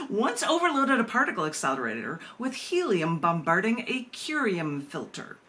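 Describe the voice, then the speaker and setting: A woman poet reading at a live open mic, animated and self-amused, with rambling, chatty asides. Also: medium pitch, measured pace.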